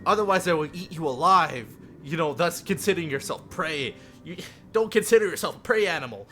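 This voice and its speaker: A man live streaming a visual novel with casual, animated, self-deprecating and profane commentary. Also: on the high side, a little fast, faint music behind.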